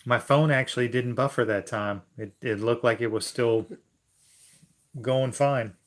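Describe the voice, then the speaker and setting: A man's voice: medium pitch, fairly even intonation, a little slow. A man co-hosting a livestream, chatting in an easygoing way with dry jokes. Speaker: co-host of a livestream. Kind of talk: casual livestream chat.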